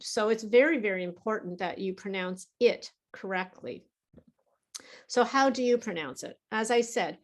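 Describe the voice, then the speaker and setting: A middle-aged woman teaching English pronunciation, speaking patiently and carefully drawing out the vowel sounds of her example words. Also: mid-range voice. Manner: patient, over-articulates target vowels for the learner. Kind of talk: slow, deliberate teaching with repeated example words.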